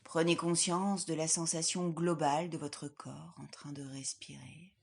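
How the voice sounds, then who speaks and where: A woman leading a guided yoga nidra relaxation as an instructor, in a calm, soothing, measured way with soft, breathy phrase endings. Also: low-pitched. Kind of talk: guided relaxation meditation, scripted.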